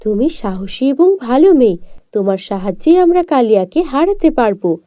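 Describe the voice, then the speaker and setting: A kind fairy helper, played by a woman for a cartoon fairy tale. The voice is high, lively and dry, earnest and reassuring. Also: normal speed, no echo, muffled recording. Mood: encouraging. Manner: reassuring, cautioning.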